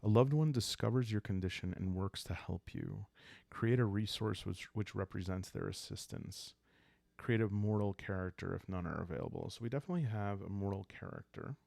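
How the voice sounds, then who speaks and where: A man calmly narrating a solo roleplaying game in an ASMR style, soft and halting as he thinks aloud. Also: lowish voice, creaky, no echo. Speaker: host and solo player of a journaling roleplaying game.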